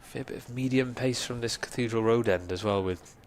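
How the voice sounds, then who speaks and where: A man whose first language is Welsh, giving calm, measured ball-by-ball cricket commentary. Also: mid-range voice, a little hiss or hum. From Wales.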